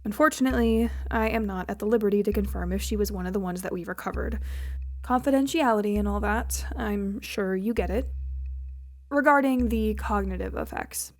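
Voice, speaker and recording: An actress playing a prim, officious agent who runs formal debriefings with dry sarcasm in an audio drama. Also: female voice, medium pitch, a little fast, natural voice. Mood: brisk, formal.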